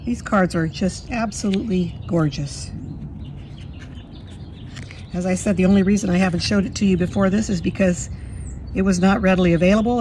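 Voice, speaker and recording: A woman with a slightly hoarse voice from a cold, chatting fondly through a walkthrough of a card deck at her table. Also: fairly low pitch, mellow, a little hiss or hum. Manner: fond, chatty, admiring. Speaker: tarot deck reviewer. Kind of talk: casual walkthrough. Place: indoors at a table.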